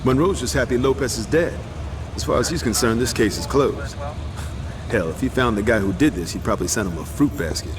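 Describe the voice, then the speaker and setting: A man acting a cynical cop in a video game. His medium-pitched voice is casual, wry and unbothered. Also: expressive, a little fast, over music, natural voice.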